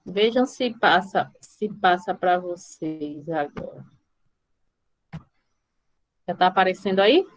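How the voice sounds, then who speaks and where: A woman's voice: lowish voice, expressive, slow, pauses often, low-quality recording. A woman with a Brazilian accent teaching informally in a live online class, often stopping to question and check on her students. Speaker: mathematics teacher.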